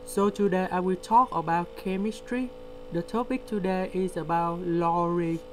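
A man with a delivery, explaining a word problem step by step in a screen-recorded lesson. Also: high-pitched. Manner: slow, step-by-step explaining with pauses. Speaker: tutor. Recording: steady electrical hum behind.

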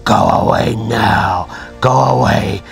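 A hulking, short-tempered brute roars and speaks in clipped broken grammar, as a man puts him on. The voice is deep and gravelly, and the words come very slowly. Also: wildly swooping pitch, soft background music, exaggerated voice. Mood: angry. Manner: broken grammar, gruff roaring. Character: huge angry simple-minded male brute. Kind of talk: toy play voice acting.

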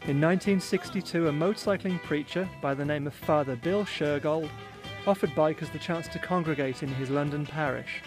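A man giving scripted documentary narration in a measured, even delivery. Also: fairly high pitch, rough recording. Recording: music bed playing underneath.

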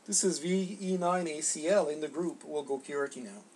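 A middle-aged man making casual ham radio contact, halting and repeating words as he goes. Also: a little high, noisy background. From Canada. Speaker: amateur radio operator. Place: small home radio room, indoors.

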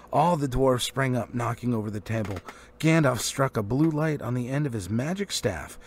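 Animated gravelly male storyteller. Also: medium pitch, a little hiss or hum. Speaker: amateur book reader.

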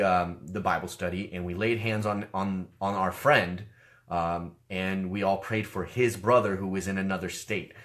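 Hoarse deep-voiced man preaching, sick. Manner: animated, gestures with his hands. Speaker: online ministry host. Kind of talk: personal testimony, casual preaching. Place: small room, seated in a leather chair.

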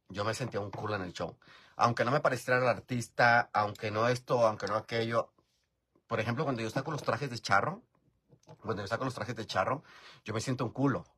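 A man's voice: mid-range voice, pushed and bright, slightly rough. A middle-aged man from Mexico with a Mexican accent, chatting and rambling casually while doing his makeup in a bedroom, recorded on a close phone camera. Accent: Mexican, from Michoacán. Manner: chatty, rambling, frequent fillers, swears casually. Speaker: drag performer vlogger. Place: bedroom at home, indoors.

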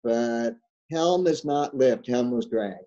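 A man's voice: mid-range voice, lively intonation, takes it slowly, mellow. An older man coaching sailors on a video call, answering questions tersely and plainly. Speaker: guest sailing coach. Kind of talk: answering questions in an online seminar. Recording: dull lo-fi call audio.

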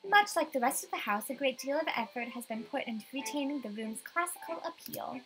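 An adult woman reading expressive first-person narration from a visual novel script. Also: sits high, a little fast, slight background noise, poor audio. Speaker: player voicing a visual novel.

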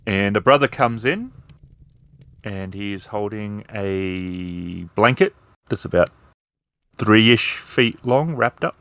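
A man's voice: lowish voice, animated, slow, fairly bright tone, no room sound. A man narrating as a game master, improvising descriptions in a hesitant, explanatory way.

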